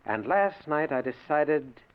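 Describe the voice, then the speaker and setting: An actor playing a cold, smug gangster in a 1940s radio drama, deliberate and matter-of-fact in his menace. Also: fairly high pitch, expressive, clear, resonant tone. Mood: smug, menacing. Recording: muffled 1940s radio recording.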